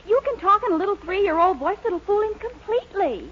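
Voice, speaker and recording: A woman's voice: extremely high pitch, lively intonation, brisk. An actress playing a sweet, insistent, scheming young woman in a 1940s radio sitcom. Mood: coaxing. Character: scheming, coaxing nineteen-year-old girlfriend, sweet and insistent. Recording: muffled old radio with faint hiss.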